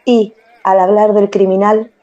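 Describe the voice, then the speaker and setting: A woman's voice: medium pitch, little pitch movement, unhurried, mellow. A woman narrating a literary story as a dramatized radio reading. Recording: slightly muffled, music underneath.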